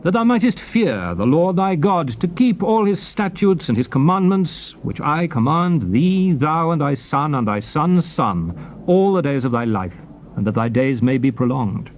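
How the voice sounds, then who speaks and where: Higher-pitched man solemnly reading scripture, noisy tape. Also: big pitch swings. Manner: declamatory, deliberate phrasing. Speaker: scripture reader. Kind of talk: dramatized, solemn King James Bible reading. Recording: noisy old cassette tape recording.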